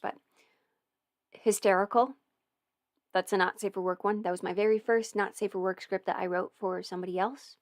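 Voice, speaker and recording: A woman's voice: mid-range voice, no room sound, clean studio sound. A young woman in casual livestream chat with viewers, rambling, laughing often and swearing now and then. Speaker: audio performer answering viewer questions.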